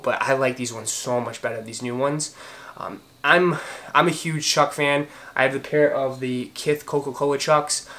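A man's voice: fairly high pitch, a bit bright. A man in his thirties from Boston with a Boston accent, talking casually to camera in a room at home, chatty and enthusiastic with many fillers and restarts. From Boston, Massachusetts. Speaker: sneaker vlogger. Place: home room with shoe shelves, indoors.

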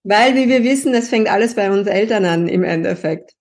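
A woman's voice: medium pitch, brisk, a little mellow. A woman hosting a podcast who interviews her guest with engaged, encouraging questions. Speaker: podcast host, linguist.

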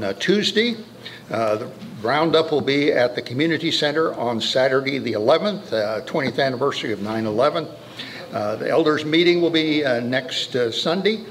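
A man's voice: mid-range voice, slight background noise. A man in his sixties giving church announcements from a lectern, genial and joking, with many fillers. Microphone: lectern mic, filmed from mid-room.

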